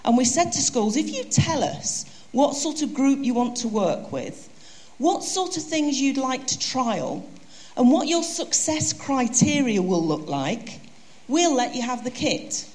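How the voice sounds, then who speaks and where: A woman giving a conference presentation and handing over to a colleague, explaining in a lively way. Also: sits high, unhurried, poor audio.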